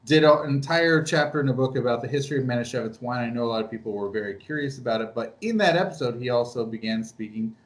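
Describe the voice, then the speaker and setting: A man hosting a podcast, asking relaxed, easygoing questions in a casual interview. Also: mid-range voice, fast, few pauses, rough recording. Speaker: podcast host.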